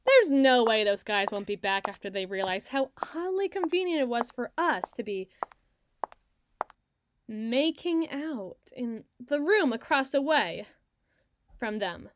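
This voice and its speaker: A woman plays a quick-witted female secret agent with a fairly high voice. She is sardonic and bossy, spinning wordy, teasing lines in an ASMR audio drama. The sound is very dry, with no room around it. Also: expressive, no echo. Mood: flustered, defensive. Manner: wry, wordy, teasing, flustered when challenged.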